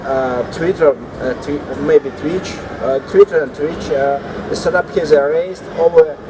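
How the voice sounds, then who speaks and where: Slow, hesitant man. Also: fairly high pitch. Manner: halting, heavy hesitation fillers. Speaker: co-host. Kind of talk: casual chat reviewing startups. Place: indoor atrium of a large building. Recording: noisy ambience in a large space.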